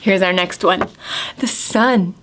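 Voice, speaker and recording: A woman presenting storytime to preschoolers, asking simple questions and stressing key words deliberately. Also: medium pitch, a bit bright, poor audio. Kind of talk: storybook reading and talking to young children.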